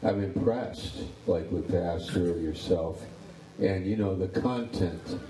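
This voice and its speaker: A man's voice: sits low, takes it slowly, reverberant, far from the mic, noisy background. A pastor in his sixties chatting casually about his ministry, rambling and repeating words. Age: 60s. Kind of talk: casual interview chat.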